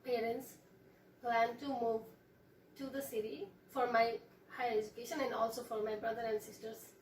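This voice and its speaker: A young woman from Nepal, Nepali as her first language, speaks in careful halting phrases full of fillers. Her voice sits a little high. She is picked up from some distance in an echoey room, with a faint steady noise underneath. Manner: measured, hesitant, with frequent fillers. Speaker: guest. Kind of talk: casual interview, explaining her culture.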